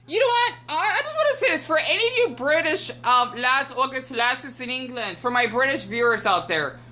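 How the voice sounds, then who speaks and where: Bright-voiced rambling American woman. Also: high-pitched, very animated, moderate pace, a little hiss or hum. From United States. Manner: rambling, with many fillers and restarts. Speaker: YouTube commentator. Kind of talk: casual reaction commentary.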